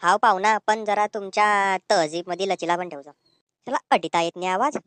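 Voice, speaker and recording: A woman plays a cheeky young village lad in a comedy cartoon. He talks very quickly and suspiciously, with a rural Ahirani accent from north Maharashtra. The sound is close and dry. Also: medium pitch, fairly bright tone, clean tone, no room sound, natural voice.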